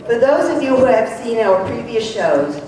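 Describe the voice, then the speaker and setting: A woman's voice: a little high, lively intonation, normal speed, dark tone, a little hiss or hum. Woman announcer in an echoey hall. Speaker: announcer introducing an amateur play. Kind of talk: prepared welcome announcement to an audience. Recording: echoey hall, far from microphone.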